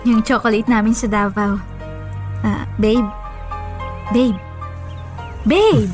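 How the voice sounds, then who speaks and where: A woman's voice: on the high side, slow pace. A woman acting as a devoted, emotional young girlfriend in a radio love drama, with music behind her. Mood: sweet, doting. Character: affectionate young girlfriend, heartbroken and furious when betrayed. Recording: background music under dialogue.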